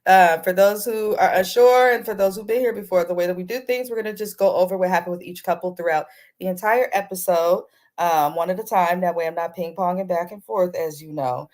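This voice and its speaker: A woman's voice: lowish voice, a little fast, darkish tone. A woman hosting a casual, chatty TV recap, speaking informally to her viewers with a slightly congested voice and a sore throat. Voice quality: slightly congested, not crisp. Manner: informal, addresses viewers directly as "you guys". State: under the weather, sore throat with throat clearing. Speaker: host of a TV recap channel.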